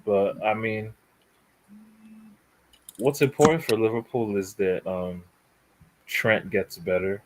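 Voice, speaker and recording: Low-voiced man analysing football. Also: background noise. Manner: halting, lots of fillers. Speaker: panel guest. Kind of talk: casual football chat.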